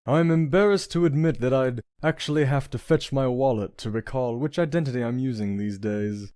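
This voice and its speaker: A man's voice: mid-range voice, moderate pace, darkish tone, clear, resonant tone, no echo, clean studio sound, close to an everyday voice. A man playing a suave, flirtatious prince in a comic dub, genteel and self-assured. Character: suave, flirtatious, penniless prince with courtly charm.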